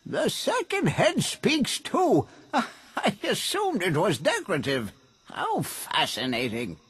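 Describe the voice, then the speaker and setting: A man voicing an eerily friendly, curious alien villager in a video game, polite and drawn-out. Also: sits high, huge pitch swings, a bit bright, husky, exaggerated voice. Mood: fascinated. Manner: polite, oddly fascinated, drawn-out. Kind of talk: video game cutscene acting. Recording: music behind the voice.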